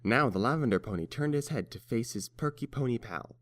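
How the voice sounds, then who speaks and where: A man's voice: medium pitch, expressive, fairly quick, a bit bright. A man reading scripted story narration as the narrator.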